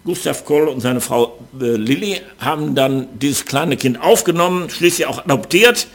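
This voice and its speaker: An older man from the Ruhr area speaking with a Ruhr German accent, preaching an earnest, measured sermon with frequent hesitations. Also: on the high side, lively intonation, gravelly.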